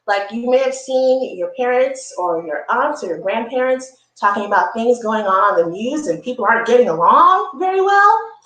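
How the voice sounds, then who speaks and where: A woman with a high, very lively voice that swings up and down leads a children's story time. She reads in an encouraging way, lingering on repeated phrases and chatting to young listeners between lines. The sound is roomy and echoey, picked up some way from the microphone. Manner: encouraging, repeats key phrases slowly, checks in with "right?". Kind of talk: read-aloud story time with conversational asides to children. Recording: roomy echo, distant microphone.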